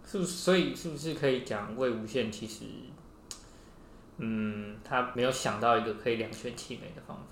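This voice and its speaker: Female co-host, extremely low voice, Taiwanese Mandarin accent, casual reactions and questions, echoey recording with background noise. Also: normal speed. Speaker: podcast co-host. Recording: noisy, echoing room.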